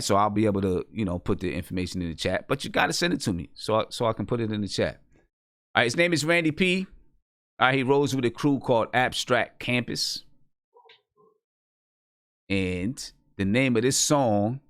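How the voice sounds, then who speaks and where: A man talks to his live audience as a show host. His voice sits on the low side, recorded very dry. He is conversational, circling back over his points and checking that listeners follow. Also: fairly bright tone, no room sound. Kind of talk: casual livestream chat to viewers.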